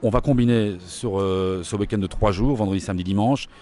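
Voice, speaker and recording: A middle-aged man from Belgium with a Belgian French accent, explaining his training program in an interview, brisk and informative with frequent fillers. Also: lowish voice, mellow, soft background music.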